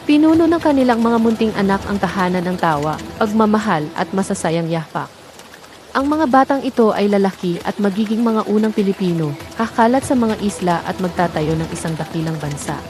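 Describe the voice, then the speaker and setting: Woman narrating a folktale, medium pitch. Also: animated, brisk. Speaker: storyteller.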